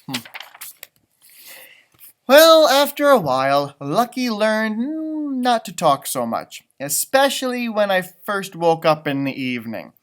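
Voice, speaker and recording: Very animated man reading a storybook. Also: high voice, slow pace. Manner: animated, chatty commenting on pictures. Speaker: storybook reader. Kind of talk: children's read-aloud with improvised asides.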